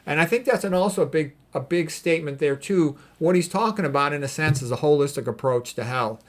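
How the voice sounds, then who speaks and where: A man's voice: fairly high pitch, brisk. Blunt, emphatic man giving health advice. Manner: blunt, opinionated, emphatic with repeated phrases. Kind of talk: informal commentary on read quotes.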